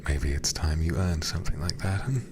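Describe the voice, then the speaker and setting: Guy playing a smug possessive demon lord, deep hushed breathy voice, slow and teasing, asmr roleplay, noticeable background noise. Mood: teasing, calculating. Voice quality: soft, breathy, often dropping to a whisper. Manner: slow, deliberate, teasing, condescending. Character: possessive, smooth male demon lord of feudal Japan. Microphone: close ASMR microphone.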